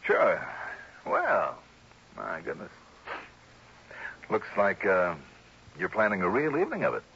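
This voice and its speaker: An actor playing a shrewd, politely probing American insurance investigator in mid-century radio drama style. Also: male voice, sits low, wildly swooping pitch, slow pace, fairly bright tone, husky, exaggerated voice. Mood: polite, easygoing. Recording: dull, hissy old radio recording.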